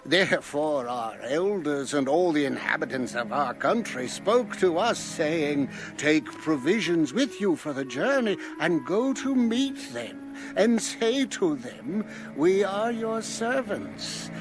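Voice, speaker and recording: A humble, wily envoy pleads in a highish, slow and deliberate voice. He defers like a servant in an audio scripture drama, with clear music playing behind him. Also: very animated. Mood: humble, pleading.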